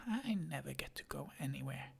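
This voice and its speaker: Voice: medium pitch, lively intonation, normal speed, gravelly, breathy edge, pitched below the performer's own voice, full character voice. A person whispering the lines of an eager, boastful young male lion cub with a cheeky manner.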